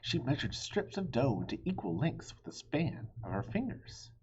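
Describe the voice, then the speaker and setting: A man reading a children's story aloud with expressive narration. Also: mid-range voice, normal speed, breathy edge, in a whisper, lo-fi sound. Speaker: storybook reader.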